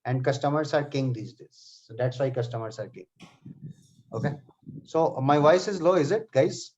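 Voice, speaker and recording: A man leads a live online class, addressing students by name and checking in with "okay" and "right". His voice sits at an ordinary middle pitch. The call audio is slightly dull and muffled. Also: normal speed, a little mellow. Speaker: software testing trainer. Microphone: computer mic on a video call.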